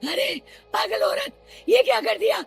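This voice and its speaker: Woman acting a creaky, gloating witch. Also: very high voice, huge pitch swings, soft background music, voice pushed up, exaggerated voice. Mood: enraged. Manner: slow, gloating, drawn-out delivery. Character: wicked gloating witch with a magic flute.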